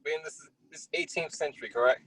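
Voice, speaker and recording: Man, moderately high voice, casual discussion, informal, direct, encouraging, giving direction. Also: fast, a bit bright, slightly rough, low-quality recording. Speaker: production team member giving direction.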